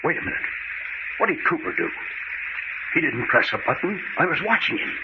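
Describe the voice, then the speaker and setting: Brisk, commanding radio-serial adventurer, swooping delivery. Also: male voice, a little high, sing-song, lo-fi sound. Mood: brisk, urgent. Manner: brisk, commanding, clipped orders. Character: brisk commanding male adventurer leading a rescue. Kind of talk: old-time radio adventure serial acting. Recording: noisy band-limited old radio recording.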